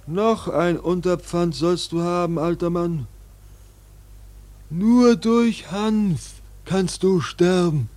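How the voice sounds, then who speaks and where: A man's voice: high voice, darkish tone. A man playing an eerie, solemn seer in a radio drama, speaking like an oracle. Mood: insistent prophecy. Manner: slow, solemn, oracular. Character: eerie, solemn male seer and harpooner prophesying. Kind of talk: German radio play acting.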